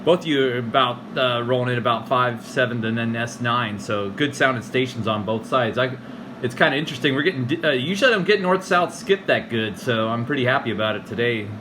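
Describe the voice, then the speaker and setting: A man from California chatting casually over a CB radio in relaxed, friendly radio lingo. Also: mid-range voice, a little fast, noisy background. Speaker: CB radio operator recording the contact.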